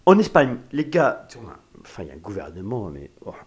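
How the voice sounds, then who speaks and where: Lively opinionated man chatting. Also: lowish voice, fairly quick. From Spain. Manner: animated, opinionated, mimics people in passing. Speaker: podcast co-host. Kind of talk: casual podcast chat.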